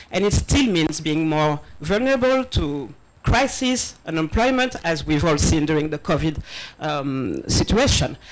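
A woman's voice: fairly low pitch, low-quality recording. A woman in her thirties, born in Senegal and raised in France, giving a conference talk into a handheld mic, a little nervous, with many ums. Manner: nervous, laughing between points, many ums. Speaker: conference speaker on diversity hiring. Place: large event space, partly open-air, with audience. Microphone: handheld microphone.

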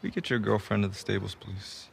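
An easygoing young American survivor in a video game, with a lowish voice. He teases in a casual deadpan, with faint noise behind him. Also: a little fast, vocal fry. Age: young adult. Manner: wry, casual, deadpan teasing. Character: teasing, easygoing young man, survivor friend. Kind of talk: naturalistic video game acting.

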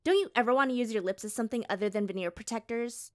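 A woman's voice: fairly high pitch, very fast, darkish tone, natural voice. A woman playing a brash, vain, bossy teenage sister, sassy and dismissive, in an audio drama. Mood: pushy, scornful.

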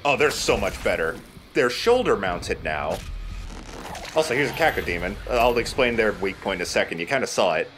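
A man's voice: on the low side, animated, normal speed, a bit bright, faint music behind. A man giving casual commentary as he plays and hosts a video game let's play. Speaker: gaming let's play host, player.

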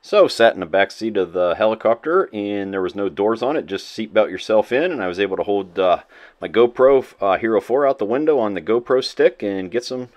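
A man from Illinois narrating his own video in a relaxed, rambling way with frequent fillers. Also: on the low side, a little fast, slight background noise. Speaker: farmhand vlogger. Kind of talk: casual voice-over narration.

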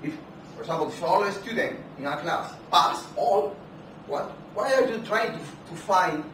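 A man with a Spanish accent lectures at a conference, pausing often on fillers and restarting phrases. He talks without a microphone in a large hall, so his voice reaches the camera from far off. Heavy reverberation and steady background noise surround every word. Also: high-pitched. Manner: unamplified, halting with many fillers and restarts. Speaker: keynote speaker. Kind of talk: academic conference keynote. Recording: echoey hall from far off, noisy.

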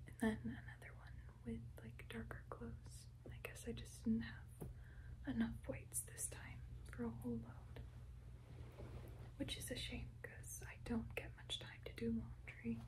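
A woman's voice: fairly high pitch, monotone, rough recording. A young woman rambling casually in an ASMR video, full of filler words. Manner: rambling, filler-heavy, unhurried. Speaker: ASMR creator.

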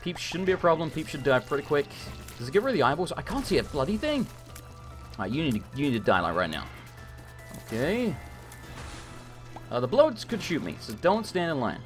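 A man commentating a live gameplay stream, hoarse and losing his voice, talking frantically and swearing often. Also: a little high, huge pitch swings, brisk, pauses often, soft background music. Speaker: gaming streamer.

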